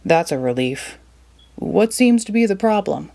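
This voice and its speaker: A gruff, money-minded middle-aged cartoon crab boss, as a woman reader puts him on. He speaks low and slowly, with a gravelly edge. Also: lively intonation, warm tone.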